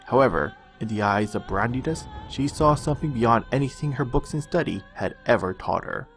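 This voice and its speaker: A man's voice: mid-range voice, animated, brisk, a bit bright. Man narrating a fantasy tale over music. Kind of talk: scripted fantasy storybook narration.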